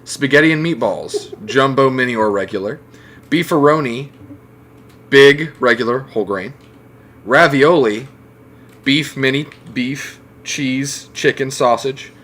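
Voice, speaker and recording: A man's voice: medium pitch, unhurried, a bit bright. A man talking casually as a guest on a group podcast. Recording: faint background noise.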